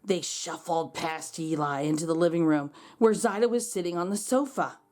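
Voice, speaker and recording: A woman reading a children's storybook aloud, expressively and dramatically, with drawn-out words. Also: fairly low pitch, darkish tone. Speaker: children's read-aloud host. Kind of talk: expressive storybook narration for kids.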